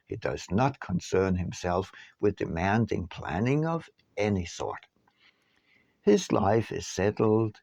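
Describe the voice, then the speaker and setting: A man narrating an audiobook from a script in a measured, deliberate way with emphatic phrasing. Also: lowish voice, creaky, a little mellow, no room sound, highs cut off. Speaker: author reading his own book.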